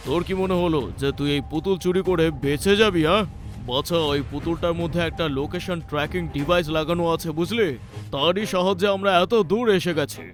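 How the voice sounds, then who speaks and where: A man's voice: a little high, fairly quick, soft background music, close to an everyday voice. A man voicing a stern, determined police inspector in a cartoon. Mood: confronting. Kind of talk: Bengali cartoon voice acting.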